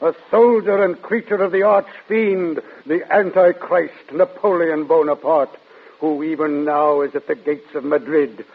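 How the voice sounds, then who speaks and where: An actor playing an accusatory clerk of the Spanish Inquisition in an old radio drama, formal and declamatory. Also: male voice, a little high, fairly even intonation, unhurried, breathy edge, narrow, tinny audio. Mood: scornful, accusing. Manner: formal, declamatory reading of charges. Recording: thin, band-limited old radio recording.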